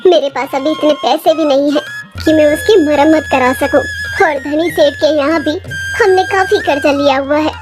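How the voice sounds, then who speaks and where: A sensible, burdened elder brother in a children's village tale, acted out by the narrator. The voice is very high and youthful, earnestly admonishing over soft music. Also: steady tone, normal speed, natural voice. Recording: music under the voice.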